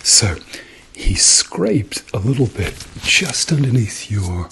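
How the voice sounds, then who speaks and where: A middle-aged man doing a softly spoken ASMR role-play as a doctor, murmuring reassurance to a patient. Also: lowish voice, sing-song, slow, creaky, a little hiss or hum. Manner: reassuring, murmured repeated encouragement.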